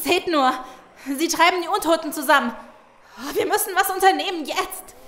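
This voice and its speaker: A high-pitched woman in an echoey space, an actress playing an outspoken, alarmed survivor in a radio play. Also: normal speed, bright, forceful tone. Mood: urgent. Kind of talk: German audio drama acting. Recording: echoey room, faint noise.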